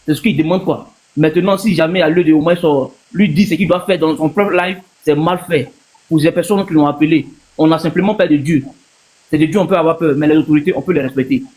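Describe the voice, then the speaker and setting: A man's voice: a little high, fast talker, darkish tone. A man from Ivory Coast with an Ivorian accent, giving his opinion casually as a panel guest on a live stream, repeating himself for emphasis.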